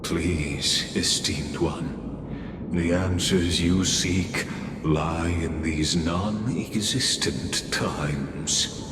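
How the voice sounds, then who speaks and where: A man playing a grave, theatrical narrator of fate in a game, formal and courtly, with grandiose pauses. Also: sits low, very noisy. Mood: ominous, grave. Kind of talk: dramatic scripted game narration. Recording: reverberant hall, loud music behind.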